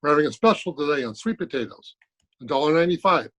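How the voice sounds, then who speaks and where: An actor playing a polite, glib music store salesman in an old-time radio comedy, with courteous patter and deadpan jokes. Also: a little high, fast, highs cut off. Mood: brisk, salesy.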